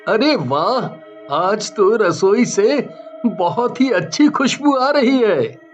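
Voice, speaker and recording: A man voicing a gentle, worried husband who talks slowly and affectionately. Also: high-pitched, lively intonation, faint music behind. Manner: slow and affectionate. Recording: dull lo-fi recording.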